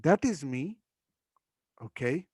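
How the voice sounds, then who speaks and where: A man speaking as a spiritual life coach, talking reflectively and listing examples one short phrase at a time, with pauses and restarts. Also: on the high side, animated, darkish tone, breathy edge, dry recording, studio quality.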